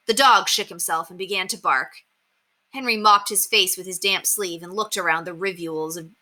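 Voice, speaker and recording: A woman narrates a children's book in an expressive, animated read-aloud style. Her voice sits a little low for a woman and has a bright, forceful edge. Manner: animated, acts out dialogue.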